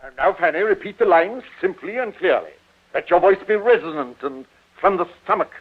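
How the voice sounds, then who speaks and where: A man's voice: high-pitched, lively intonation, fairly quick, husky. A man playing a domineering, stagey middle-aged father in an old radio drama, declaiming with precise diction. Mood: instructive. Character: domineering, theatrical middle-aged father training his actress daughter. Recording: tinny, band-limited old radio recording.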